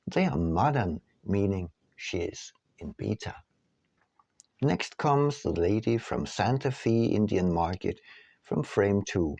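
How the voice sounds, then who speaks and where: A man reading his own book aloud as scripted audiobook narration, in measured, deliberate phrases. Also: fairly low pitch, vocal fry, a little mellow, no echo.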